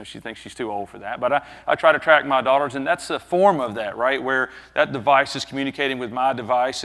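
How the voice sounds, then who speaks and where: A man in his thirties with a Southern drawl delivers a business presentation from a conference stage. He speaks into a headset mic in a casual, chatty way, checking in with frequent tag questions. His voice rings out with the long reverb of a large hall. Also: mid-range voice. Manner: conversational, frequent tag questions, animated gestures while speaking.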